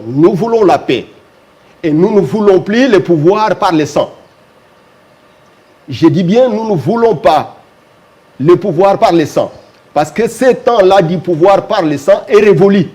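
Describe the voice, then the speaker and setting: A man, a local notable answering questions in a TV interview, measured and insistent, repeating key phrases for emphasis. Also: a little high, warm tone. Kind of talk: TV talk show interview.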